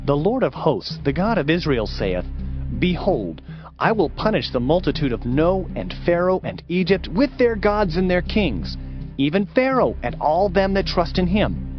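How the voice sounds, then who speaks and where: A man reading scripture as a dramatized audiobook in a solemn, declamatory manner. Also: fairly high pitch. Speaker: audiobook narrator. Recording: dull lo-fi audio under music. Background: music.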